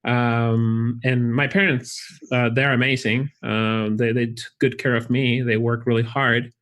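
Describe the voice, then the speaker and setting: A man with a Chilean Spanish accent, a college recruitment director, talking in a friendly, relaxed way with frequent restarts in a casual interview. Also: medium pitch, a little slow. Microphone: video call.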